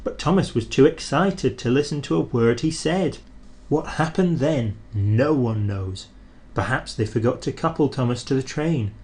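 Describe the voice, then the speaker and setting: A man reads a children's storybook aloud in an expressive, measured way. His voice rises and falls with lots of life at a middle pitch.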